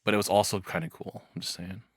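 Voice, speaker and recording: A man's voice: deep voice, steady tone, fast, breathy edge, no room sound. A man doing casual live reaction commentary in a rambling, frank, crudely humorous way. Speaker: reaction streamer.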